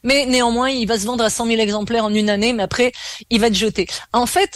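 A woman's voice: fairly high pitch, steady tone, quick pace, dry recording, clean studio sound. Chatty woman in her sixties. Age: 60s. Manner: talkative, frank, laughs easily. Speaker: author guest. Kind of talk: casual interview chat.

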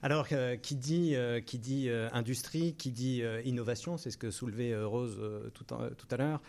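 A man of middle pitch speaks as a guest in an interview. His delivery is very halting, full of hesitations and doubled words. He explains things off the cuff, in small pieces. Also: gravelly, darkish tone. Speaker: interview guest, operations director in aerospace. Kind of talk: unscripted explanatory interview answer.

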